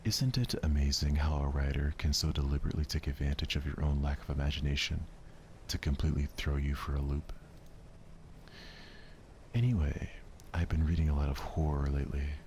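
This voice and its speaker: A man voicing a gentle, reserved, bookish girl who speaks softly and earnestly about what she loves. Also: very deep voice, steady tone, fairly quick, slight background noise. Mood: earnest, absorbed.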